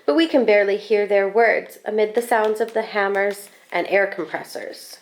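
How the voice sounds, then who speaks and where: A woman reading a children's picture book aloud expressively, with deliberate, animated phrasing and drawn-out words. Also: mid-range voice.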